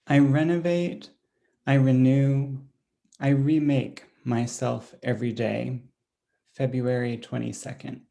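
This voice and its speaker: A man reading another poet's diary-like poem aloud, slow and deliberate, closing each entry with a date. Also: medium pitch, creaky. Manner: deliberate, dated entries read flatly.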